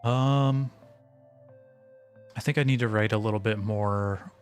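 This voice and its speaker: A man's voice: on the low side, takes it slowly, smooth. A man chatting casually on a live stream as he works, thinking aloud in a hesitant, self-directed way. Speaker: streamer, indie game developer. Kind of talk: casual live stream chat while working. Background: background music.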